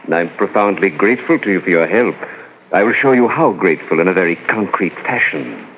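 An actor playing a menacing mad doctor in 1940s radio horror, speaking with formal, measured, condescending politeness. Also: male voice, deep voice, animated. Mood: coolly condescending. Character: menacing, superhumanly heavy male mad doctor, coolly polite. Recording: muffled noisy 1940s radio recording.

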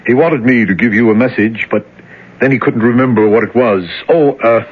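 A man's voice: medium pitch, moderate pace, breathy edge, lightly acted. A man playing an uneasy guard. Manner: plain, curious and questioning. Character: uneasy male guard under a boss. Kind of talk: 1970s radio drama acting. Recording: tinny old radio recording.

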